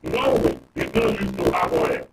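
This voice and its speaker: A Nigerian man speaks as an expert guest in a live discussion, with a creaky, gravelly voice. He explains emphatically, step by step, checking that listeners follow. The sound is roomy and distant, with noticeable noise. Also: very deep voice, huge pitch swings, a little slow. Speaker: panel guest, expert commentator. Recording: roomy, distant mic, clear noise and clicks.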